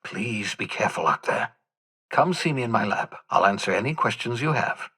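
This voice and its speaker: An actor playing an eccentric, jittery older fugitive scientist in a video game, speaking in a theatrical, wordy, wry way with hesitant asides. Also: male voice, on the low side, lively intonation, moderate pace, sharp tone, breathy edge, full character voice. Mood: chatty, wry. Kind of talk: video game character dialogue.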